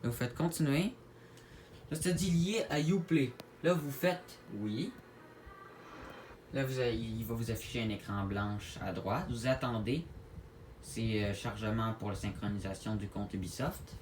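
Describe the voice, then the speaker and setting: A man with a Québécois French accent giving a casual tutorial to viewers, halting and repeating his words as he goes. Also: mid-range voice, a little mellow. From Quebec, Canada. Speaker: gaming video creator. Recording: clear background noise, home-made recording.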